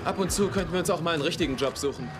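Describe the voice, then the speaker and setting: An actor playing a serious, thoughtful young monster hunter with an earnest, questioning manner in a dubbed TV drama. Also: male voice, on the high side, racing, lightly acted. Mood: serious, explaining. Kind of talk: German-dubbed TV series acting. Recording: loud music over the voice.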